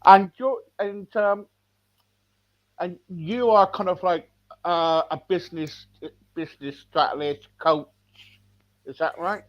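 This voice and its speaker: A man's voice: high voice, fairly even intonation, slow, long pauses. A man from England hosting a podcast, rambling in a casual, loose way as he greets listeners and introduces his guest. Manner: rambling, full of fillers and restarts. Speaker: podcast host. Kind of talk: casual radio-style interview hosting. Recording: slightly dull, lo-fi sound.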